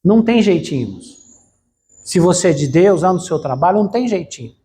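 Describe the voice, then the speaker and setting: A man's voice: high-pitched, animated, fairly quick, mellow. A pastor gives a sermon in a church. He speaks conversationally, leaning on fillers and rhetorical questions, and keeps turning to the congregation as brothers.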